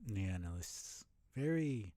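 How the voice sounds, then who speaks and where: A man's voice: fairly low pitch, lively intonation, unhurried, mellow, a little hiss or hum. A man giving casual live commentary on a video game as he plays, thinking aloud and swearing offhandedly.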